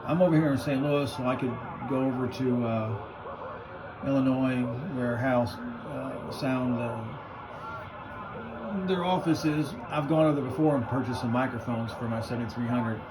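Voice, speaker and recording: A man's voice: mid-range voice, moderate pace, a crowd in the background, rough recording. An American man giving a casual, unscripted gear demo in a colloquial, plain-spoken way. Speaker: radio hobbyist presenting a gear demo.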